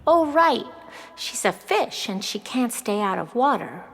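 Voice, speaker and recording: A woman's voice: a little high, very animated, unhurried, long reverb tail, heavily acted. A woman voicing an earnest, wide-eyed nine-year-old girl in a children's audio play. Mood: puzzled.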